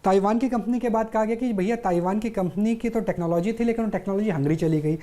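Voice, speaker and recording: A woman's voice: mid-range voice, fast, warm tone. A woman interviewing on the news, explaining and asking questions off the cuff in a wordy, repetitive way. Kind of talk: unscripted on-air questioning and explaining.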